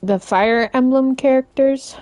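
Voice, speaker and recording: A woman game streamer chatting casually and informally as she plays, in a halting, rambling way. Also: sits high, darkish tone, no echo, soft background music. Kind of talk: casual live-stream chat while playing.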